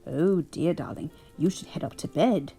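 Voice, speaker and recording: A woman putting on a husky old English granny. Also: low-pitched, moderate pace, dark tone, soft background music. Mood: cozy. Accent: put-on English. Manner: doting, chuckling, fussing over a grandchild. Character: kindly elderly English grandmother storyteller. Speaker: storyteller. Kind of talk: bedtime story reading.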